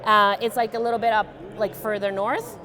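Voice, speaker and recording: A young woman tying a fishing fly in a joking, deadpan demonstration, with many ums and uhs. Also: mid-range voice, fairly quick. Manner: deadpan, playful, many fillers. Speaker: guest fly tier.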